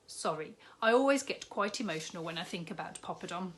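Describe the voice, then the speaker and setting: A woman voicing a big, jolly, kindly male shopkeeper in a storybook reading, warm and fatherly. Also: fairly low pitch, lively intonation, fairly quick.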